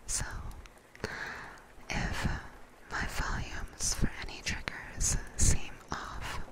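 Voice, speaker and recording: Slow, whispering woman doing ASMR. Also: poor audio. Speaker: streamer hosting a live ASMR roleplay stream. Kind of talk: whispered ASMR, chatting casually with viewers. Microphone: close binaural ASMR microphone.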